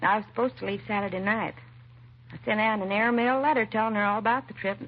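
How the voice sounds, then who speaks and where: An American actress playing a timid, self-doubting young secretary in a 1950s radio drama, hesitant and apologetic. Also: female voice, mid-range voice, steady tone, fast talker, natural voice. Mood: earnest, hesitant. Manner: hesitant, nervous, apologetic, trailing and restarting. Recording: muffled vintage radio recording, faint hiss.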